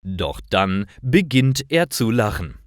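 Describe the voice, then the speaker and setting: Guy narrating little stories for learners, real slow, pitch goes up and down a lot, dry close sound. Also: very animated, no echo. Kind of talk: scripted narration, slow storytelling for language learners.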